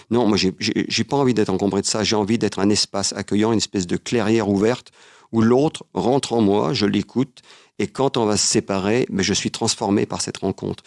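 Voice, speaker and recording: A man answering as an interview guest, earnest and philosophical, often halting and repeating his words. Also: fairly low pitch, vocal fry, no echo, studio quality. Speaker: interview guest, travel writer. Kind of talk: reflective conversational interview.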